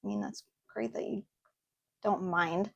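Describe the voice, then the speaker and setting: A young woman hosting a casual reaction video from her desk at home, chatty and earnest, pausing often with ums. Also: mid-range voice, fairly even intonation, vocal fry, slightly rough, dry recording, muffled recording. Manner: chatty and earnest, with fillers and pauses. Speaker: host. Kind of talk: casual reaction video, reading posts aloud.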